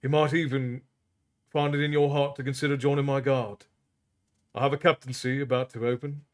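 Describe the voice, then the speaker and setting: A man voicing a composed, authoritative prince who speaks calmly and low. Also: a little fast, natural voice. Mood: commanding. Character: composed prince, commanding and wry.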